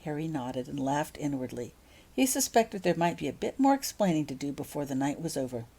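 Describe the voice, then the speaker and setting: A woman narrating fiction as an author reading aloud, in an expressive storybook style. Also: deep voice, a little fast, husky.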